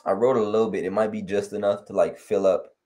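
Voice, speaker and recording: Low dark-voiced young man from LA. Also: fast. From Los Angeles. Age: young adult. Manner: relaxed, rambling with many fillers. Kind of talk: casual chat. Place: home room on video call. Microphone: headset on video call.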